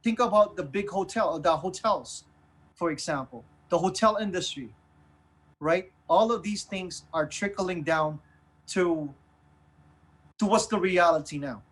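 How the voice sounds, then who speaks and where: A man co-hosting a casual current affairs chat, haltingly repeating phrases and checking in with short tag questions. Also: high-pitched. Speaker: talk show co-host. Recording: music bed behind the voice. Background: music.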